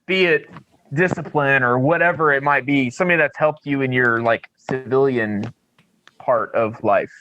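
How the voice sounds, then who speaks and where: A man's voice: mid-range voice, moderate pace, sharp tone, highs cut off, slight background noise. A man hosting a talk show in casual, joking conversation.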